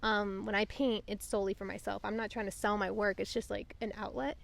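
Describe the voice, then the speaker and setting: A woman's voice: mid-range voice, level tone, fairly quick, gravelly. A young woman hosting a casual podcast chat outdoors on a couch, loose and chatty with lots of fillers. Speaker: podcast host.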